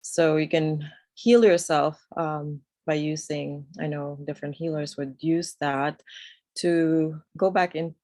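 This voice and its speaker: A woman's voice: low voice, fairly even intonation, takes it slowly, darkish tone, dry recording. A woman raised in New York, a guest on a video call, speaking reflectively and searching for her words. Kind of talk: casual conversation over a video call.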